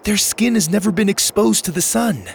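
A man's voice: high voice, moderate pace, creaky, a little hiss or hum, voice pushed up. A man voicing a level-headed, dismissive girl in a children's story, speaking matter-of-factly. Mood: concerned. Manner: dull, matter-of-fact.

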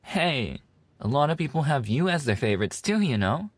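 A man's voice: medium pitch, very animated, normal speed, no echo. A man voicing a playful, affectionate animal-boy maid café server in an ASMR roleplay, teasing and giggly in a cutesy way. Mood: clingy, affectionate.

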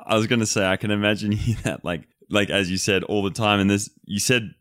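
A man's voice: on the low side, steady tone, a little fast, fairly bright tone, no room sound, clean studio sound. A man hosting an interview, laughing easily and asking reflective follow-up questions. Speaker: podcast host.

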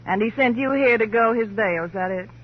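An actress playing a prickly, sardonic widow in a 1950s radio crime drama, with a flippant, sarcastic manner. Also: female voice, on the high side, a little fast, natural voice. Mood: defensive, irritated. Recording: muffled vintage radio recording, faint hum.

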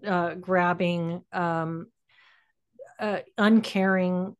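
A woman's voice: on the low side, steady tone, very slow, clean tone. A middle-aged American woman in a reflective podcast conversation, searching for words and letting thoughts trail off. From Texas, United States. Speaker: podcast host.

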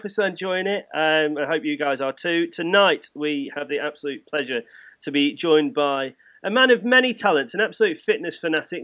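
Higher-pitched English man hosting a chat. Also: fairly bright tone. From England. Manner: matey, informal. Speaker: podcast host interviewing a guest. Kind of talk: casual chatty sports interview.

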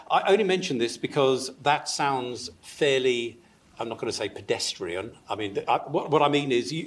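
A man interviewing a guest on stage, chatty and joking, often laughing as he asks questions. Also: mid-range voice.

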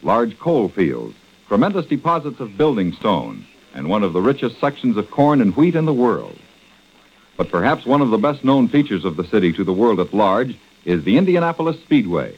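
A man's voice: fairly low pitch, big pitch swings, moderate pace, breathy edge. An American man reading formal scripted narration as a 1940s radio announcer, on a muffled old recording.

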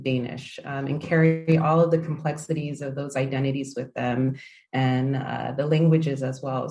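A woman in her thirties from the United States, giving measured, thoughtful answers as an interview guest with frequent ums and uhs. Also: deep voice, dark tone. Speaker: interview guest, language project founder. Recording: echoey room.

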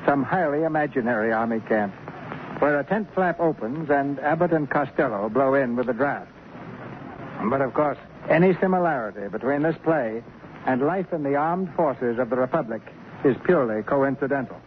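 A man's voice: mid-range voice, animated, normal speed, narrow, tinny audio, a little hiss or hum. A man hosting a radio theater show, reading scripted narration in the style of 1940s broadcasting.

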